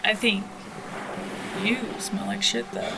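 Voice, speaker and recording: A woman's voice: medium pitch, little pitch movement, slow pace, creaky. A woman playing a tough, wry bounty hunter and pilot in an audio drama, dry, clipped and confident. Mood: wry, assured. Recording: noisy sound-effect backdrop.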